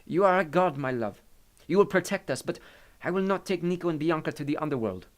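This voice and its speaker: Storybook casting: devoted Italian-accented mother, higher-pitched, gentle but stubborn, played by a man. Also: lively intonation, a little fast, natural voice. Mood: tender, confident.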